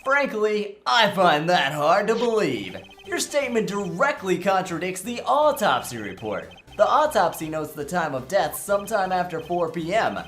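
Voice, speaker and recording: Woman gaming host, comedic and sarcastic, lively delivery on the lower side, joking through dialogue. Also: normal speed, hardly any pauses, a little hiss or hum. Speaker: let's play host voicing the rookie lawyer. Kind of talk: comedic gameplay commentary and dubbing.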